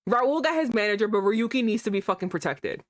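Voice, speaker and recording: Excitable swearing woman streamer. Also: medium pitch, fast talker. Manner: excitable, swears often, laughs a lot. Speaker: streamer, host. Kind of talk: casual game stream chat. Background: game music.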